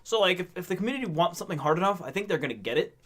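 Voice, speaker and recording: A man's voice: sits high, expressive, rapid-fire, fairly bright tone, slight background noise. A man co-hosting a casual gaming podcast, talking animatedly, laughing often and restarting his words. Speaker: podcast co-host. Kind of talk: casual chat.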